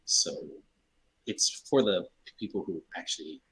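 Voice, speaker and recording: A man's voice: low-pitched, lively intonation, a little slow, a bit bright, breathy edge, rough recording. A man giving plain, explanatory answers as a guest in a casual interview. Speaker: guest translator.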